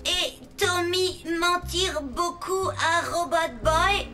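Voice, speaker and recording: An actor in a French cartoon dub playing a cute, naive little robot who talks in halting, childlike broken sentences. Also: very high-pitched, fairly even intonation, over music. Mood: puzzled, innocent.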